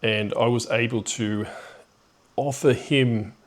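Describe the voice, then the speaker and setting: A man's voice: medium pitch, steady tone, slow. A middle-aged man telling a personal story off the cuff in a reflective, hesitant way, with rueful chuckles here and there.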